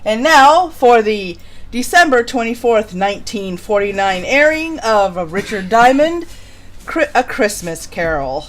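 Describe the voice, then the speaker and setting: High-voiced woman hosting. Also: sing-song, measured pace. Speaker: host of an old-time radio programme. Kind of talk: casual hosting with read-out introductions.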